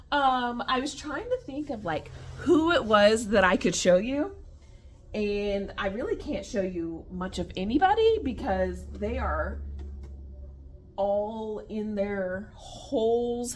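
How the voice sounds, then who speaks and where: A woman, chatting to camera at home with a lively, swooping voice. She speaks at an easy, unhurried pace and sometimes drops to a whisper. There is faint room noise behind her. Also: a little high. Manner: chatty and animated, addresses viewers as y'all, drops to whispers near the spiders. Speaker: vlogger showing her pet tarantulas. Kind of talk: casual chat to camera. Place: home room with tarantula enclosures.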